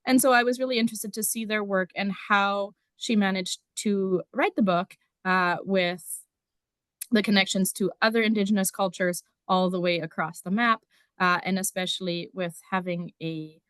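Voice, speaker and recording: A woman's voice: mid-range voice, fairly even intonation, moderate pace, a bit bright, clean tone, dry recording, studio quality. A woman from Germany, a district numeracy teacher, explaining casually with frequent ums during a conference talk over a video call. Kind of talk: conference presentation.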